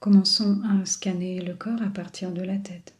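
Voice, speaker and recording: A woman leading a guided body scan meditation in a soothing, unhurried manner with long pauses. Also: mid-range voice, steady tone. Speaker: yoga and relaxation guide.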